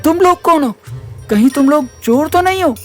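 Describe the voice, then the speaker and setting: Woman acting as hurt young college boy, very high voice, distressed, audio drama, soft music. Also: expressive, moderate pace. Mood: wary.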